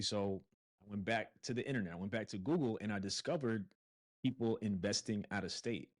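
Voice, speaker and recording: A man in his thirties, talking as a podcast guest in a low voice. He tells his story in a loose, conversational way, stumbling over a few words and using fillers as he goes. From Brooklyn, New York. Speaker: podcast guest, real estate investor. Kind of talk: casual interview chat.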